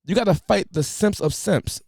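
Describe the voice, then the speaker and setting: A man's voice: high voice, normal speed, gravelly, no echo, studio quality. Slangy ranting man on a podcast. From United States. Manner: animated, preachy, colloquial slang. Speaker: podcast host. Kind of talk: casual podcast rant.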